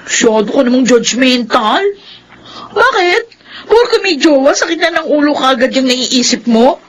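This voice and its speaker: A woman's voice: sits high, expressive, moderate pace, a little hiss or hum, poor audio. A woman playing a defiant adult older sister in a Filipino radio drama, sassy and defensive. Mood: defensive, taunting.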